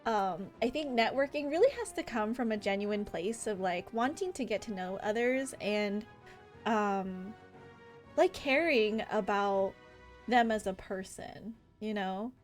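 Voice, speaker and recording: A woman livestreaming a game, chatting casually in an animated, informal way. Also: mid-range voice, unhurried, soft background music. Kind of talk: casual chat while streaming a game. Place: indoor livestream setup with game music behind.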